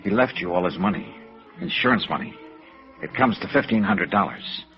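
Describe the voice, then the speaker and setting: An actor playing a terse, hardboiled yet compassionate American insurance investigator in 1950s radio noir, with clipped dramatic delivery. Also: male voice, low voice, animated, moderate pace. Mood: gently urgent. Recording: dull vintage radio recording with music.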